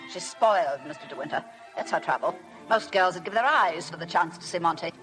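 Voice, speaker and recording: An actress playing a bossy, gushing middle-aged society matron with a snobbish air in a vintage radio drama. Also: female voice, lowish voice, expressive, fairly quick, close to an everyday voice. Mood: catty. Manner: imperious, gushing. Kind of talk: 1950s radio drama acting. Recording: vintage radio broadcast with loud music.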